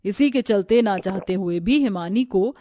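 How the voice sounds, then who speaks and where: A woman's voice: mid-range voice, moderate pace, mellow, no room sound, clean studio sound. A woman narrating a scripted family story as the storyteller.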